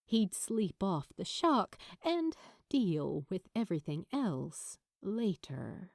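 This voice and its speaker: A woman reading a story as an audiobook narrator, with dramatic, deliberate phrasing and pauses. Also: medium pitch, big pitch swings, creaky, darkish tone. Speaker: narrator of fan fiction.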